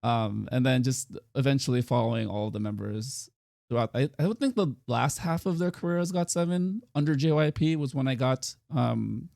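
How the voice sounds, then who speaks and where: Male podcast host, gravelly edge, dry close mic, laid-back teasing delivery. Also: medium pitch, dry recording. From United States. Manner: relaxed, teasing, many fillers. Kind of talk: casual podcast chat.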